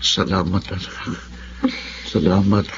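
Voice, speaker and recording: An actor playing a frail, ailing old father in a radio drama, speaking gently, wearily and haltingly. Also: deep voice, fairly even intonation. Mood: weak, grateful. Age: older. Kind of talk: Tagalog radio drama acting. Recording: muffled, faintly noisy audio.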